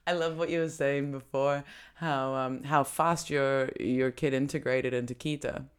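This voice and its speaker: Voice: mid-range voice, fairly even intonation, unhurried, smooth. A comedian co-hosting a podcast in casual chat, talking ideas through with plenty of fillers and frequent laughter. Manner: chatty, laughs often, talks through ideas with many fillers.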